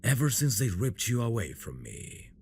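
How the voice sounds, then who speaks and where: A man playing a possessive, teasing fantasy villain devoted to his bride in an intimate ASMR audio drama, with a gravelly murmur that often drops into breathy whispers. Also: mid-range voice, big pitch swings, darkish tone, a little hiss or hum. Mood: tender, longing. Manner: slow, teasing, seductive delivery.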